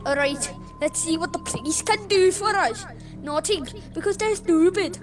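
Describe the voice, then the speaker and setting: A woman's voice: extremely high pitch, animated, moderate pace. A woman chatting casually and playfully as she plays a game with friends. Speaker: gamer playing with friends. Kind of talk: casual playful gameplay chat. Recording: game voice chat under very loud music. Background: very loud game music.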